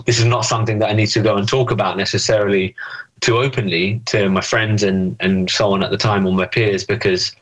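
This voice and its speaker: A man's voice: lowish voice, fairly even intonation, fairly quick, poor audio. A young man answering interview questions thoughtfully, with many fillers and restarts. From England. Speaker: interview guest.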